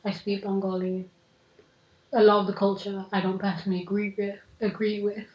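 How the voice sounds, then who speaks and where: A woman's voice: medium pitch, flat delivery, moderate pace. 20s woman, earnest, lots of "like", podcast chat, roomy room, dull lo-fi sound. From England (Manchester). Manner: earnest, frequent "like" fillers. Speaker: podcast participant. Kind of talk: casual group discussion.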